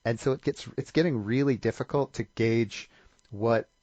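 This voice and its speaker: A man's voice: mid-range voice, normal speed, darkish tone, no room sound, dull audio. A man talking casually as a podcast host. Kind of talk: casual chat.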